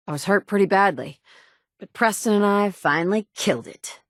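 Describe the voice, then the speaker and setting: A woman's voice: mid-range voice, normal speed, no echo, natural voice. A woman voicing the player character in a video game, a capable survivor who talks in a direct, firm way. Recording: dry studio recording.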